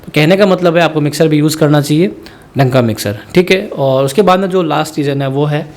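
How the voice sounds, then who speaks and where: A man's voice: fairly high pitch, a little fast, a little mellow. A man from India speaking, explaining sound equipment in a rambling tutorial that addresses viewers directly as friends. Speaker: musician teaching sound setup. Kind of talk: spoken tutorial.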